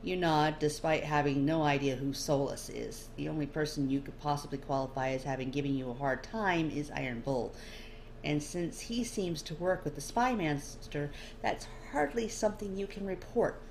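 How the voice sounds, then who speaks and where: A woman's voice: low voice, normal speed, darkish tone. A woman narrating a scripted story in the second person, as an amateur reader of fiction. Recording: clear background noise.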